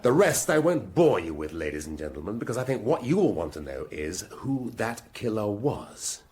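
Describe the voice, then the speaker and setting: An actor playing a shrewd, commanding police inspector with an English accent, speaking deliberately and theatrically in a British radio drama. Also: male voice, lowish voice, big pitch swings, a little mellow. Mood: dramatic, accusing.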